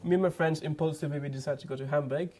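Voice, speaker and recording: A young man, a student guest, telling a story in a relaxed, casual way. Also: on the high side, racing, slight background noise. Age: young adult.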